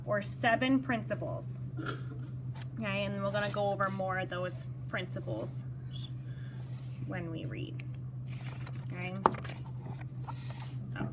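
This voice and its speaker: A woman reading a children's story aloud in an expressive, teacherly manner, pausing to explain words. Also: a little high, background noise.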